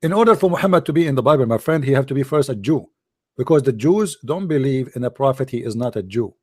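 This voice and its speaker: A man hosting a combative call-in debate, his voice tired and dry, who keeps saying "my friend" and often clears his throat. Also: medium pitch, animated, fast, darkish tone. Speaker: host of an online call-in debate.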